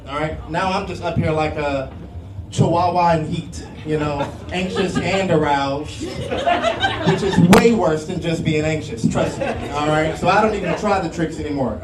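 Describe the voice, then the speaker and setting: Nervous lisping guy doing standup, self-mocking, rambles to the crowd, pretty high voice, loud room noise behind. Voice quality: lisp. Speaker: stand-up comedian. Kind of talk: stand-up comedy set. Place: comedy club stage. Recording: roomy club sound from a distance, loud crowd laughter. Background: audience laughter and room noise.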